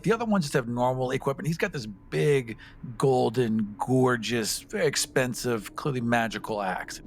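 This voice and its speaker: A man's voice: mid-range voice, a little hiss or hum. A man playing a tabletop game, chatting and describing his character's moves in a casual, joking way. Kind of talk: casual improvised game chat.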